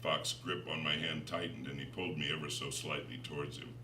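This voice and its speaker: Deep-voiced man reading dramatically, roomy recording. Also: flat delivery, fairly quick. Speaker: author reading his own novel. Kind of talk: dramatic literary narration. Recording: roomy, distant, noisy recording.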